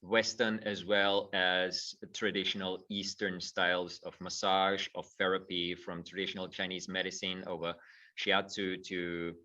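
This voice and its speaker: Guest, middle-aged man, low voice, thoughtful, distant muffled mic. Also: level tone, measured pace, sharp tone. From Austria. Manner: thoughtful, repeats words when starting phrases. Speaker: guest, movement teacher. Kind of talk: conversational interview.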